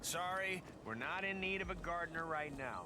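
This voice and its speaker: An actor playing a polite but firm guard in a video game, turning away intruders. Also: male voice, a little high, lively intonation, normal speed, background noise, close to an everyday voice. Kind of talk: video game character dialogue. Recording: distant game audio under very loud ambience.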